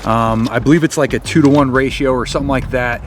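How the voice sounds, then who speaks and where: A man's voice: medium pitch, fairly quick, a little hiss or hum. A man hosting a casual, unscripted how-to vlog, explaining somewhat hesitantly as he thinks aloud. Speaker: vlog host.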